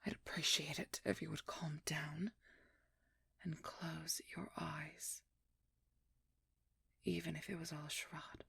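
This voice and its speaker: A woman acts out a determined female vampire hunter in an intimate audio roleplay. Her voice is very breathy and husky, often near a whisper, with a gravelly fry underneath. She speaks slowly and intensely, wounded but resolute. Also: sits low, little pitch movement, fairly bright tone, no room sound, full character voice. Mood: cold, anguished resolve. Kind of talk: ASMR roleplay audio drama.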